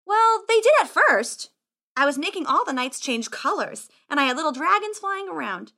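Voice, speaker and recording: Woman as a high, boastful boy wizard. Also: very animated, moderate pace, gravelly, pushed and bright, full character voice. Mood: chatty. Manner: grandiose, indignant, chatty. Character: cheeky, boastful skinny teenage boy wizard. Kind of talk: audiobook character acting.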